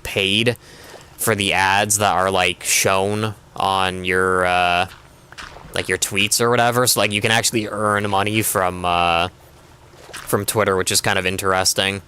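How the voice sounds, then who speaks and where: A man's voice: fairly low pitch, pushed and bright, clean tone. A young man streaming a game, chatting casually in a rambling way with constant filler words. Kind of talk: casual chat while gaming.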